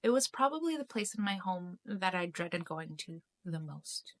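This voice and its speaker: A middle-aged woman and host of her own channel, telling a personal story to camera, emotional and halting, with frequent restarts. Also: on the low side, expressive, a bit bright. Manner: emotional, halting, many restarts and self-interruptions. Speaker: spiritual YouTube channel host.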